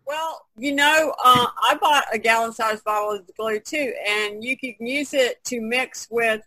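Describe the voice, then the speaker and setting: A woman's voice: fairly high pitch, a little slow, pushed and bright, no echo, low-quality recording. A woman in casual conversation on an online call, explaining things as an artist taking part in a podcast. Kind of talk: casual chat, explaining a technique. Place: online video conference call, indoors. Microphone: video call.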